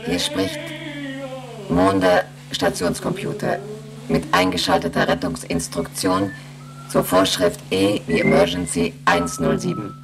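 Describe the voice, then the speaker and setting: A woman playing an emotionless moon station computer in a radio play, reciting in a formal monotone. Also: extremely low pitch, measured pace, creaky, over music, exaggerated voice, low-quality recording. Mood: impassive. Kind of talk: German radio play acting.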